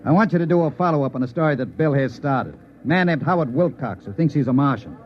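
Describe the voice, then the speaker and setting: Man playing curt, fast-talking newspaper boss. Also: medium pitch, lively intonation, lightly acted. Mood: cold, decisive. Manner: curt, skeptical, sardonic. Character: hard-nosed, suspicious newspaper boss. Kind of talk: old-time radio drama acting. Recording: dull, noisy vintage radio recording.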